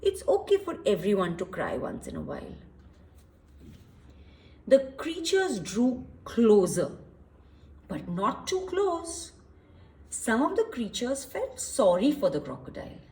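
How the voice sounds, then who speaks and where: A woman's voice: a little high, huge pitch swings, unhurried, pauses often, a little mellow, low-quality recording. A woman reading a story aloud to young children, expressively, explaining and asking questions with emphasis and repetition.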